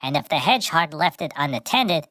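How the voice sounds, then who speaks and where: A woman's voice: sits low, sing-song, brisk, fairly bright tone, no echo, studio quality. A woman reading a scripted children's story as the narrator.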